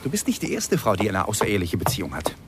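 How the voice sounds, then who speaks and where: An actor playing a worried, placating lover in a radio drama, reasoning in a hesitant, measured, coaxing way. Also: male voice, lowish voice, wildly swooping pitch, background noise. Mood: soothing.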